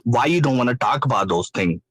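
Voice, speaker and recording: A man's voice: mid-range voice, animated, quick pace, fairly bright tone, a little hiss or hum. A man whose first language is Urdu, calling in to a live stream and speaking earnest, hesitant English full of fillers. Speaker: caller, guest. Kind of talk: live stream call conversation.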